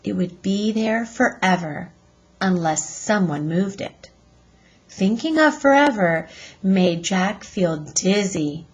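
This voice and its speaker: Female read-aloud teacher, unhurried and slightly rough-voiced, telling a chapter book to children with expression. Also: medium pitch, rough recording. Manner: deliberate, expressive storytelling.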